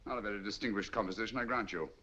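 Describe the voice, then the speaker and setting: An actor playing a sharp, commanding detective in a 1940s film, speaking with crisp precision. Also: male voice, on the low side, racing. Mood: dry. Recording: dull lo-fi old film soundtrack.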